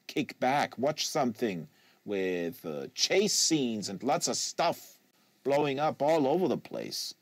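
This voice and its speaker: A man's voice: mid-range voice, dry recording. A worn-out teenage student, voiced by a male reader grumbles in slangy complaint. It is an audiobook character, recorded with a dry, close studio sound. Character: weary, grumbling teenage tennis academy students.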